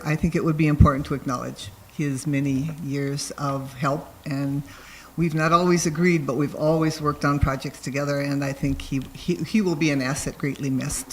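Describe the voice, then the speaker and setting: A soft-spoken man making a public comment at a city council meeting. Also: on the high side, a little hiss or hum, poor audio. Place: council chamber.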